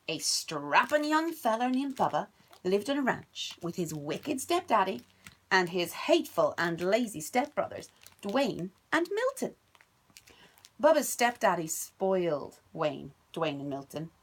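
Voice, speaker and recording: A woman's voice: mid-range voice, breathy edge. A woman reading a picture book aloud to her little son at home, playful and sing-song. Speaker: parent reading to a child.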